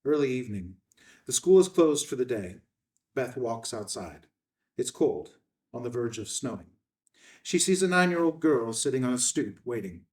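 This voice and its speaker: A man's voice: medium pitch, expressive, normal speed, a little mellow, distant mic. A man reading out stage directions as scripted narration at a film table read.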